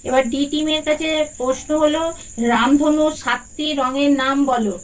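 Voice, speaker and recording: A performer voicing an encouraging aunt doll who hosts a children's quiz in a puppet story, reading questions deliberately. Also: high-pitched, steady tone, dull audio, lightly acted. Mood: encouraging, brisk. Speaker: quiz host.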